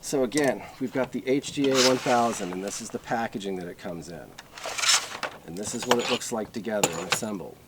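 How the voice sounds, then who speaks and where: A man giving a casual, unscripted product overview in a halting, conversational way with plenty of filler words. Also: on the low side, background noise. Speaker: product reviewer.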